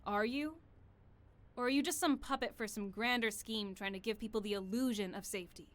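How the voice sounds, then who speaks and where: A woman's voice: fairly high pitch, fairly quick, natural voice. A woman playing an ambitious exiled royal in an audio drama, with an imperious, earnest and assured way of talking. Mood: proud, defiant. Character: proud, ambitious exiled young female royal, would-be conqueror.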